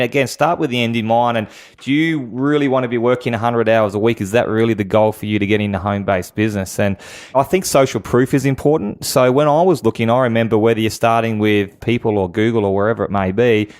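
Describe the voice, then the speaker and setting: A man giving conversational advice as an interview guest, emphatic and fond of rhetorical questions. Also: lowish voice. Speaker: guest business expert.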